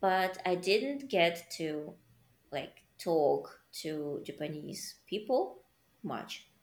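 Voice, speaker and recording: Woman, slow and thoughtful, kinda low voice, echoey room. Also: big pitch swings. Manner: slow, reflective, searching for words. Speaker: conversation participant. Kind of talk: casual chat.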